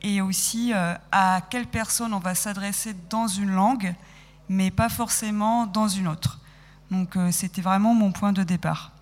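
A woman in her thirties talks through her ideas as a radio guest, pausing and correcting herself often. Her voice sits at a middle pitch. The room adds a long, echoey tail. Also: fairly even intonation. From France. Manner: thoughtful, halting, self-correcting. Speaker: guest, sound podcast maker. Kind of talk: radio interview conversation.

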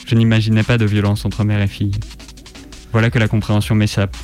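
A man reads a literary story in the first person. His voice sits low and barely moves in pitch, keeping a flat, even line. Soft music plays beneath the narration. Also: fast talker. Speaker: reader on a radio show.

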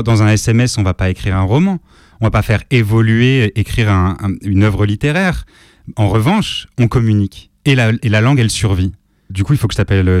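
A male radio show host interviewing a guest in a relaxed, conversational manner. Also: lowish voice, dry recording, clean studio sound.

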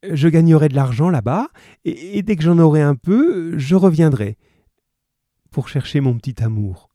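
A man's voice: fairly high pitch, lively intonation, moderate pace, mellow, dry recording, clean studio sound, voice pushed up, natural voice. A man voicing an anxious, pleading poor young mother, hesitant and earnest, in an audiobook. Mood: anxious, eager.

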